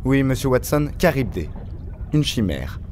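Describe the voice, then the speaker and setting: A man's voice: mid-range voice, sing-song, measured pace, a little mellow, slight background noise. An actor playing a cryptic, erudite male recluse in an audio drama, theatrical and condescending, over prominent music. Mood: grave, lecturing. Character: cryptic, erudite male deep-sea recluse, scornful of surface dwellers. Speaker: reclusive expert character. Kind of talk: French audio fiction acting.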